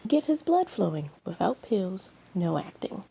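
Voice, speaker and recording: A woman's voice: mid-range voice, sing-song, a little slow, creaky, dark tone, slight background noise. A woman voicing a smug, scheming, mischievous woman with a taunting delivery in a story reading. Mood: smug, goading.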